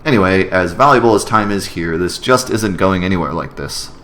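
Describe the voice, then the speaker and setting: A man voicing a weary, wry professional in a story video game, speaking in a dry, deliberate manner. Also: deep voice, a bit bright, a little hiss or hum. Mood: weary, frustrated. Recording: music behind the voice.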